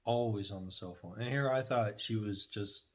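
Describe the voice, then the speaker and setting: A man's voice: on the low side, normal speed. A man chatting casually and supportively as a co-speaker, encouraging and rephrasing his point as he goes. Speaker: vlogger's husband as co-speaker.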